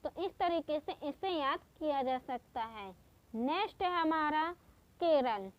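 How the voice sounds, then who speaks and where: A woman teaching exam-prep memory tricks in a slow, patient way, repeating each step. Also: high-pitched, animated, highs cut off. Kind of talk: exam-prep mnemonic lesson.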